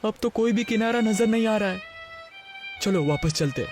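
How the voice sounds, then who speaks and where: Casting: male actor as nervous young sidekick, high-pitched, pleading, exclamatory story dialogue over music. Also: lively intonation, normal speed. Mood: alarmed. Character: anxious, reluctant young man, the adventurer's loyal friend.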